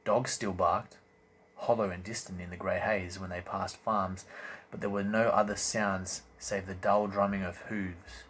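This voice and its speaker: A man reading a fantasy novel as dramatic narration, with deliberate, theatrical emphasis. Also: deep voice, level tone, fairly bright tone. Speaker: audiobook reader, host. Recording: amateur recording with faint background noise.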